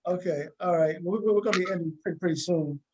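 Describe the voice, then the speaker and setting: A man's voice: high voice, quick pace, dark tone, lo-fi sound, slight background noise. A man hosting a casual interview over a video call, often restarting and repeating his phrases. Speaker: interviewer, show host.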